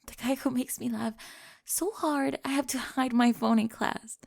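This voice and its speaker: A woman playing a remorseful, lovestruck high school cheerleader in an ASMR roleplay, breathy and hesitant, often dropping to a whisper. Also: fairly even intonation, moderate pace, darkish tone. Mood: nervous, stunned. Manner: hesitant, emotional, confessional. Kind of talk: ASMR romantic roleplay monologue.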